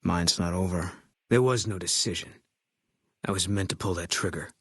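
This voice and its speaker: An actor playing a terse, stoic ex-military sniper in a video game, grim and fatalistic, with a low husky voice. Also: male voice, moderate pace, a bit bright, no echo, full character voice. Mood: haunted, resigned. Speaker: video game companion character.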